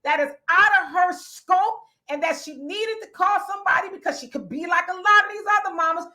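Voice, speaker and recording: Blunt, emphatic high-voiced woman. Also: sharp tone. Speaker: podcast host. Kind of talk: casual opinion talk.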